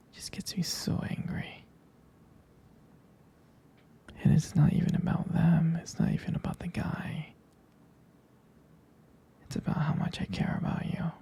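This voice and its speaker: A man playing a jealous, devoted boyfriend in an ASMR roleplay, speaking intimately and haltingly in a breathy, husky whisper. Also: on the high side, mellow, exaggerated voice. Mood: possessive, intense. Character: jealous, possessive, devoted boyfriend soothing his sweetheart.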